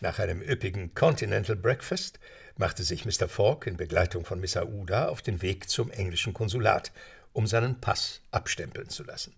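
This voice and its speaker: A man's voice: low-pitched, moderate pace, vocal fry, slightly rough, exaggerated voice. A man narrating an adventure tale in a German audio drama, scripted and dramatic. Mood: measured storytelling. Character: male storyteller of an adventure tale. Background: music.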